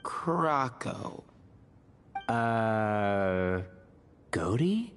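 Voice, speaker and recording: A calm, reserved companion speaks slowly in a low, thoughtful voice during a video game scene. His delivery stays measured and even. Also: a little hiss or hum, heavily acted.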